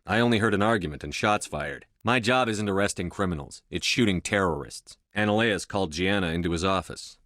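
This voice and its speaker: Deep-voiced, terse military space commander. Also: male voice, fairly bright tone, no room sound, studio quality. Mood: matter-of-fact. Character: stern male military space commander, terse, authoritative, dryly defiant. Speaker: video game protagonist. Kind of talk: video game voice acting.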